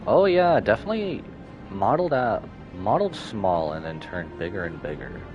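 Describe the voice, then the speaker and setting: A man's voice: low-pitched, big pitch swings, moderate pace, dull audio. A man live-streaming a game with casual commentary, musing aloud in short reactions over game music. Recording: music behind the voice.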